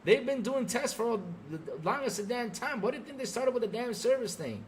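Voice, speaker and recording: A man's voice: very high-pitched, expressive, moderate pace, slight background noise. A middle-aged man hosting a podcast, ranting about gaming to a live chat in an emphatic, sarcastic way. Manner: emphatic, sarcastic, mimics fans mockingly. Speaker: podcast host.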